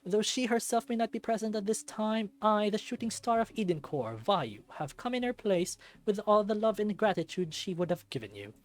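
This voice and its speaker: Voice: medium pitch, big pitch swings, normal speed, few pauses, voice pushed up, lightly acted. A narrator voicing a showy, self-important male fennec host who makes grand, projected announcements in a visual novel. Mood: grand, gracious. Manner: pompous, projected announcer delivery.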